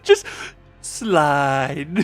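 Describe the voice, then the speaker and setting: A man livestreaming a story game, reading aloud and commenting in an excitable, sweary, giggly way. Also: fairly high pitch, extremely slow. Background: music.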